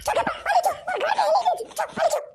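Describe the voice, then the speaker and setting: A man voicing a furious, protective, foul-mouthed village mother in a comic cartoon, shrill and threatening. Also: very fast, very noisy. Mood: vengeful. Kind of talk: amateur comic cartoon dub.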